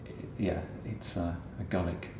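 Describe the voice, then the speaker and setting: A man's voice: deep voice, fairly even intonation, a little slow, mellow. A man answering interview questions about pantomime, hesitant with many restarts. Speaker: pantomime writer and director. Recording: echoey room with faint noise.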